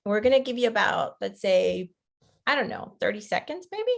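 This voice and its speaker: A woman's voice: medium pitch, very animated, normal speed, vocal fry. Female webinar presenter, middle-register voice; conversational educator who keeps checking in with listeners. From Costa Rica. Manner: conversational, checks in often with "right?". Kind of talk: interactive online lecture.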